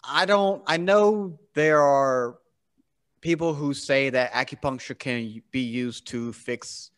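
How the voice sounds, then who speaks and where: A man's voice: medium pitch, slow pace, clean tone. A man hosting a casual interview podcast, talking in an enthusiastic, chatty way. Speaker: podcast host.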